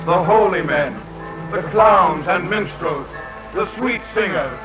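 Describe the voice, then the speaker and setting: An actor playing the grand voice of radio, solemnly declaiming to the public in 1940s narration style. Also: male voice, high voice, animated, slow. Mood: solemn, stirring. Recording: dull 1940 radio recording. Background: music.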